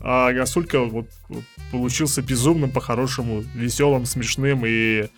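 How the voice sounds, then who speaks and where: A man with a creaky voice co-hosting a casual podcast, halting and often repeating his words. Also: medium pitch, fairly even intonation. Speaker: podcast co-host. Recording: music behind the talk. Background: music.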